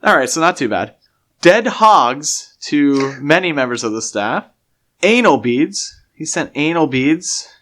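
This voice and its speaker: A man co-hosting a podcast in a casual, halting conversational way, often interrupting his own sentences. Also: on the high side, expressive, a bit bright. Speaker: podcast co-host. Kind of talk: casual film discussion chat.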